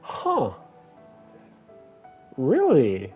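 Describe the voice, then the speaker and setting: A woman's voice: very deep voice, huge pitch swings, drawn-out words, slightly rough, muffled recording, soft background music. A woman streaming a video game, giving casual, musing, informal reactions as she plays. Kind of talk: casual reactions and commentary during gameplay.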